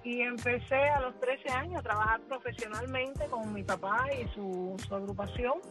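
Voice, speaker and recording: A woman's voice: fairly high pitch, moderate pace. Chatty woman in her thirties, music underneath. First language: English. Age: 30s. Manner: warm, chatty, repeats words while finding them. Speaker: musician guest. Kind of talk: radio interview.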